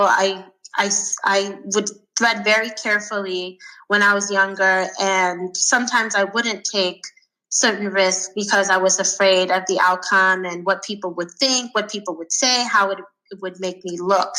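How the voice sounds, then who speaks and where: A woman in her thirties from Sweden, answering interview questions thoughtfully with frequent pauses and fillers. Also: medium pitch, sharp tone, rough recording. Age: 30s. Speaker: guest.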